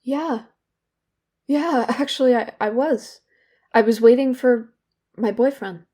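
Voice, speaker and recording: A young woman playing a guilt-ridden, wry and bitter therapy patient in an audio drama, speaking candidly and haltingly. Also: a little high, mellow. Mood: wistful, halting. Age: young adult. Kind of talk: naturalistic audio drama dialogue.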